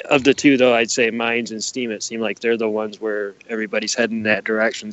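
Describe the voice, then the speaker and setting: A man's voice: mid-range voice, dry recording. Easygoing man on a dull, dry call. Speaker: podcast guest, author. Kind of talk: casual interview chat.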